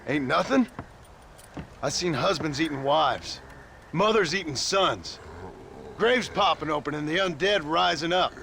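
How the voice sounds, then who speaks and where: An actor playing a gruff, sardonic, short-tempered gunslinger in a western video game, terse and blunt in manner. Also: male voice, on the high side, lively intonation, a little slow, pauses often, bright, forceful tone, a little hiss or hum, exaggerated voice. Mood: exasperated. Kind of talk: dramatic video game cutscene acting.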